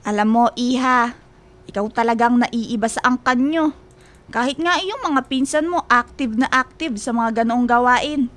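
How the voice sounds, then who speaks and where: A woman's voice: on the high side, normal speed, fairly bright tone, close to an everyday voice. An actress playing a chatty, teasing middle-aged woman storekeeper in a Filipino radio drama, with motherly familiarity. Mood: nosy, concerned.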